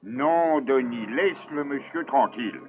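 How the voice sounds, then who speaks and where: An exasperated, weary cartoon neighbour. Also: male voice, mid-range voice, animated, takes it slowly, lo-fi sound. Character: exasperated middle-aged male neighbour, Mr. Martin.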